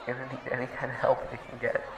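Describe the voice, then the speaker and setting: A man playing a distressed, earnest man rallying a crowd in an audio drama, stammering and pleading as he makes a halting, emotional speech. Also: medium pitch, completely flat delivery, muffled recording, lightly acted. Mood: pleading, resolute. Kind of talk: audio roleplay acting, impassioned speech. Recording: echoey open-air space with crowd.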